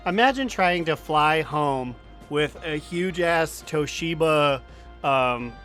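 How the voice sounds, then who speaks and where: A man's voice: a little high, lively intonation, takes it slowly, music in the background. A man co-hosting a podcast, chatting casually in a joking, crude, loose way. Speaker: podcast co-host.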